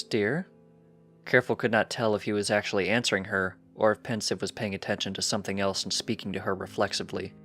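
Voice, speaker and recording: A man's voice: on the low side, a bit bright. A man reading scripted fiction as a storybook narrator. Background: background music.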